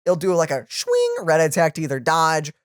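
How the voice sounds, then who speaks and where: Gaming co-host: medium pitch, very lively intonation, casual and animated reaction talk. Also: moderate pace, dry recording, clean studio sound. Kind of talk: casual chat about a video game.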